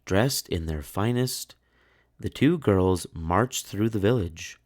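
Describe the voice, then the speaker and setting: A man with a low voice tells a children's story aloud. He takes his time, pausing dramatically, and his pitch moves expressively. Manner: measured, expressive, with pauses for suspense. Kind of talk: dramatic picture-book read-aloud.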